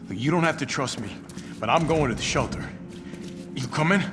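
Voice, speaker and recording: A man's voice: on the high side, expressive, brisk, over music, natural voice. An actor playing an easygoing young male mercenary in a video game, casual and teasing. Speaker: mercenary soldier. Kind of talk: video game cutscene acting.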